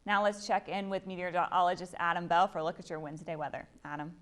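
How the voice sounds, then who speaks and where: A woman's voice: on the low side, flat delivery, fast, fairly bright tone. A woman anchoring a morning newscast, speaking in a broadcast style as she hands over to colleagues. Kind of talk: broadcast handoff to weather.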